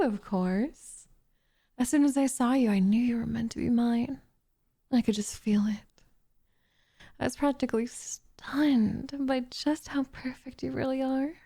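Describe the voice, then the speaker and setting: A woman playing a possessive, lovestruck witch in an ASMR roleplay, coaxing and condescending in a soft voice that slips into whispers. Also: fairly high pitch, measured pace, long pauses, husky.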